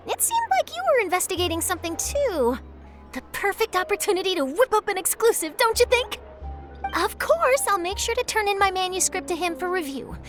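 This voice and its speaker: A woman's voice: very high-pitched, sing-song, brisk, over music. A woman voicing an eager, chatty young female journalist in a video game, breezy and persuasive. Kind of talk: video game character dialogue.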